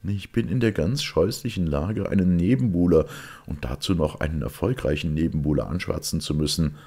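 A man plays a young male secretary in a detective audiobook, bitter and impatient. He speaks urgently and emphatically, in a husky voice lifted above the narrator's. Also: low voice, brisk, warm tone, voice pushed up. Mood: bitter, uneasy.

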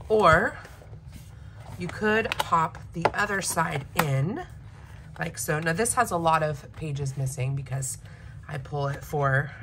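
A woman with a slightly raspy voice from a recent illness chats casually and eagerly at her desk, now and then clearing her throat. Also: on the low side, bright, forceful tone, a little hiss or hum. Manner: enthusiastic, chatty, frequent throat clearing. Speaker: planner vlogger. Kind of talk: casual unboxing chat. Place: home desk.

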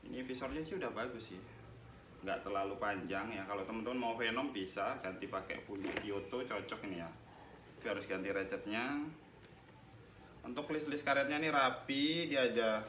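A young man hosting a casual helmet review vlog, chatting to his viewers as he takes the helmet apart. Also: medium pitch. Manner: chatty, addresses viewers as guys. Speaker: motovlog host reviewing a helmet. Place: small room with tiled wall, indoors. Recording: echoey room, noisy background, mic at a distance.

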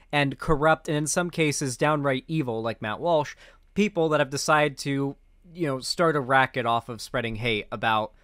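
A man's voice: a little high, fairly bright tone, no echo. A young man giving casual, opinionated reaction commentary as a streamer, speaking into a close mic indoors. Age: young adult.

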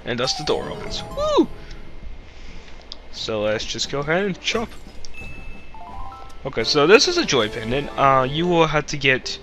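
Animated, goofy young man commentating a game. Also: medium pitch. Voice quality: slightly congested, stuffy nose. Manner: rambling, goofy, jokey asides. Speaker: Let's Play host. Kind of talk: casual gameplay commentary.

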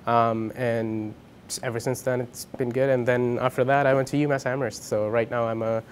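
A man's voice: mid-range voice, flat delivery, moderate pace, a little hiss or hum. A young man from India whose first language is Punjabi, answering interview questions in a casual, hesitant way with many ums and restarts. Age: young adult. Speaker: interviewee, college student. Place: dim indoor room with a lamp.

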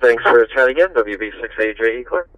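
A man's voice: medium pitch, expressive, fast, phone-quality sound. A man chatting casually over a two-way digital radio, friendly and rambling as an amateur operator. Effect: two-way digital radio transmission.

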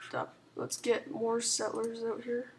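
A woman with a sore throat and a hoarse, sick voice, rambling softly through casual strategy game commentary with lots of ums and likes. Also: on the high side, expressive, slow pace, a little hiss or hum. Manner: rambling, full of "like" and "um", soft-spoken. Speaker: gaming channel host.

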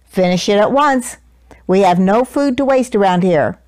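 A woman's voice: mid-range voice, animated, moderate pace, darkish tone, natural voice. A woman voicing a kindly but strict storybook lady who takes in stray pets, prim and firm in a motherly way.

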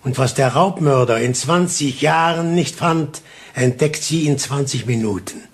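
An actor playing a shrewd police inspector in a radio play, speaking deliberately and theatrically with taunting insinuation. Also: male voice, mid-range voice, close to an everyday voice. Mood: menacing, accusing. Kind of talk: German radio play acting.

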